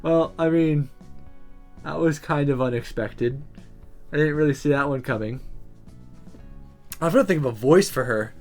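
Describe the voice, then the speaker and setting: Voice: mid-range voice, moderate pace, long pauses, darkish tone. A let's play host reading visual novel narration aloud, with game music behind.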